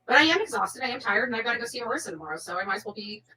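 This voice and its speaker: A woman's voice: on the high side, very animated, very fast, sharp tone, husky, dry recording, poor audio. A woman in her forties chatting bluntly and brashly on a livestream panel, laughing often. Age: 40s. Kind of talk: casual livestream chat.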